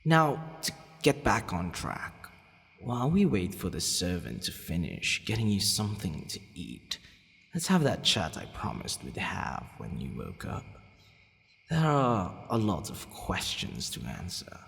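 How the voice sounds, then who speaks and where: A man acting a haughty but softening vampire lord in an ASMR audio roleplay, brooding, formal and given to hesitant sighing. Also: low-pitched, huge pitch swings, measured pace, vocal fry, heavily acted. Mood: flustered, softening. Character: possessive male vampire lord, haughty yet secretly softening. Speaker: roleplay voice actor. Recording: long reverb, faint background noise.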